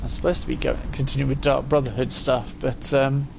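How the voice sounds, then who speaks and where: A man's voice: medium pitch, flat delivery, brisk, gravelly, background noise. A man in his forties giving casual live-stream commentary as he plays, chatting and thinking aloud. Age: 40s.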